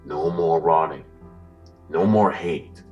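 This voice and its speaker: A man playing a menacing puppet mastermind in a horror audio drama, slow, deliberate, formal and coldly moralizing. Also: deep voice, highs cut off. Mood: calm taunting. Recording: distant voice under loud music.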